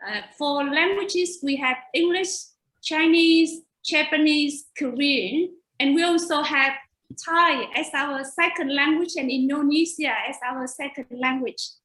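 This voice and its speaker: A woman's voice: high-pitched, a little slow, fairly bright tone, roomy sound, poor audio. A woman from Vietnam with a Vietnamese accent, a native Vietnamese speaker and teacher educator, giving an earnest webinar slide presentation over a video call.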